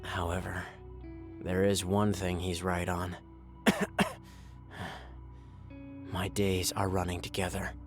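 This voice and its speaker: An actor playing a bitter, ailing oil rig worker held in quarantine in a horror audio drama. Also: male voice, deep voice, completely flat delivery, takes it slowly, pauses often, faint music behind. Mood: weary, brooding. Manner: bitter, agitated, profane. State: coughing, ill.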